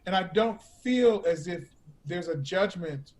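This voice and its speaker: A man's voice: sits high, lively intonation, a little slow, darkish tone. A man interviewing in a casual, conversational way, offering encouraging short affirmations and loosely phrased questions.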